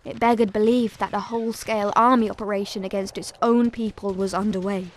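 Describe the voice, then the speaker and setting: A woman narrator giving a scripted first-person dramatic account in a solemn, measured storytelling manner. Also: mid-range voice, fairly even intonation.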